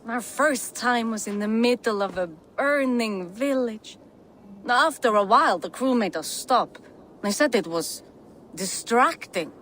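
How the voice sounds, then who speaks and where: Casting: bold, earthy Viking wife, slow and high, game drama. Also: female voice, expressive, a bit bright, a little hiss or hum. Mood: wry, frustrated. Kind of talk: video game acting.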